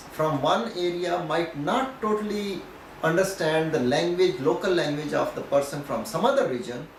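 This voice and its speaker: A man from Pakistan with a Pakistani accent and Punjabi as his mother tongue, explaining things in an interview in a didactic way, often checking in with "okay". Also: on the high side. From Pakistan, Punjab region. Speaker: professor being interviewed. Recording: echoey, distant mic, faint noise.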